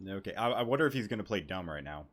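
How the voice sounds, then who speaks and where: A man's voice: low voice, expressive, rapid-fire, fairly bright tone, a little hiss or hum. A man doing casual, irreverent gameplay commentary as a streamer, reacting aloud to the game. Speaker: gaming streamer. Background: game music.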